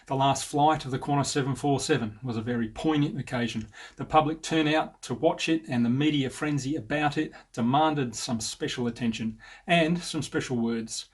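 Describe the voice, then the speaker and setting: An Australian man in his fifties giving a measured, sincere personal narration as an air traffic controller, with a slightly husky voice. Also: mid-range voice.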